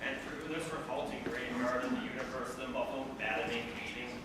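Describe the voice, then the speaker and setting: A man's voice: medium pitch, steady tone, a little fast. A man giving a theatrical, mock-solemn comic reading of literature to a live audience. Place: echoey venue before a live audience. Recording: very echoey room, distant mic, noisy.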